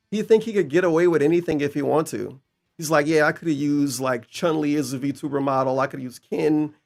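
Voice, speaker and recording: A man's voice: mid-range voice, creaky, darkish tone. A man giving casual, mocking reaction commentary as a streamer, incredulous, sarcastic and profane.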